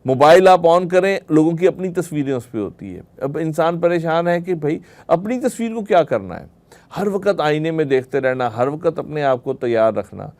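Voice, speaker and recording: A man's voice: on the high side, animated, moderate pace, darkish tone. Man, lecturing. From Pakistan. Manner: explanatory, with rhetorical questions and everyday examples. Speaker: religious lecturer. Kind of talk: religious lecture to a group.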